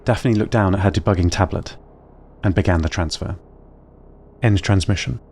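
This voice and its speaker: Calm low-voiced male drama narrator. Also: slight background noise. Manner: calm, measured storytelling. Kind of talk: scripted audio-drama narration.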